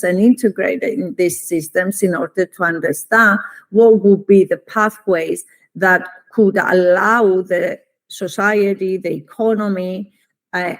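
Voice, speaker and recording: A middle-aged woman with a Greek Cypriot accent, a native Greek speaker, giving formal, deliberate academic answers as an interview guest, with frequent pauses. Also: lowish voice. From Cyprus. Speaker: economics professor, interview guest.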